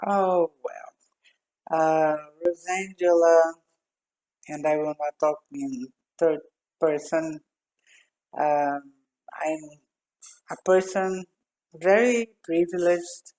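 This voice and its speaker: A woman in her sixties from Brazil with a Brazilian accent, speaking thoughtfully with pauses as a podcast guest. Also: low-pitched, darkish tone, rough recording. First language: Portuguese. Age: 60s. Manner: slow, thoughtful speech with pauses and fillers. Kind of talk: podcast interview. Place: home office with glass wall, remote.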